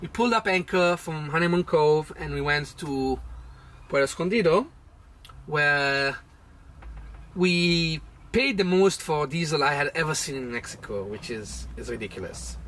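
A man's voice: a little high, lively intonation, unhurried, fairly bright tone, rough recording. Chatty male sailing vlogger. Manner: chatty, storytelling with restarts. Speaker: vlog host, sailor. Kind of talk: casual travel narration. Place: small boat cabin, indoors.

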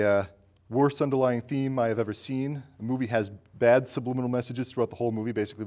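A man's voice: fairly low pitch, darkish tone. A man in his thirties hosting a casual film discussion in a small studio. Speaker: talk show host. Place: small indoor studio set with curtains.

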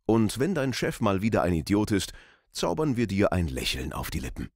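A man voicing a friendly, smooth radio station promo announcer with deliberate emphasis, close on a studio microphone. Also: sits low, darkish tone, no room sound, heavily acted. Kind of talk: scripted radio promo read.

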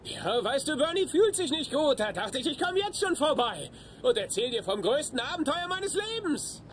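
A man's voice: extremely high pitch, very animated, normal speed, fairly bright tone, a little hiss or hum, full character voice. A man playing a cocky, whiny grown son in a dubbed sitcom. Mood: self-satisfied.

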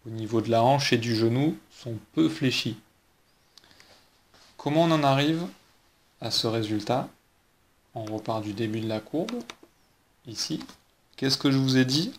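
A man coaching skiing, talking calmly over slow-motion footage and walking through each movement with rhetorical questions. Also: medium pitch. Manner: patient, explains step by step with rhetorical questions. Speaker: ski coach.

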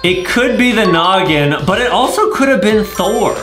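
A young man talks in an animated, casual way as an agreeable co-host for a kids' game video. The sound is roomy and echoey, with music clearly behind him. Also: high-pitched, normal speed. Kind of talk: casual game commentary for kids.

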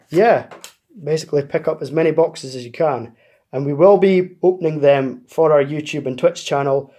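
A man's voice: on the high side, a little mellow. A man hosting a card-opening video, chatting casually as he opens packs and explains pre-orders, recorded at a table indoors. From United Kingdom. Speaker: card-opening channel host. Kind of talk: casual pack-opening chat.

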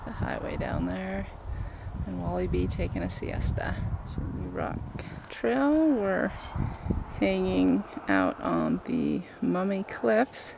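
Gravelly man narrating a hike. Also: high-pitched, slow. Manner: relaxed, offhand commentary on scenery. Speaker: travel vlogger. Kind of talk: casual narration while hiking. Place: outdoors on desert trails and in an orchard. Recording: noisy outdoor camera audio.

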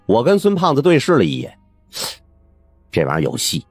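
Husky, very animated male storyteller. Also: mid-range voice, a little fast, no echo, soft background music. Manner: expressive, wry. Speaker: audiobook narrator. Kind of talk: colloquial first-person storytelling narration.

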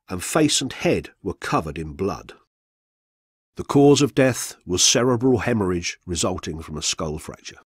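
A man reading a scripted true-crime documentary for radio in a measured, factual manner. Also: on the low side, husky, dry recording, clean studio sound. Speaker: narrator, reader of a true-crime story.

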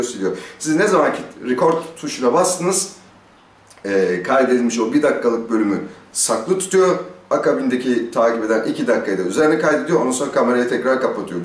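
A middle-aged man giving a casual, explanatory product review to camera in a conversational way, often asking and answering his own questions. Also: medium pitch. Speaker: motorcycle gear reviewer, host. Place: small stone-walled outdoor courtyard. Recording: roomy echo, distant microphone.

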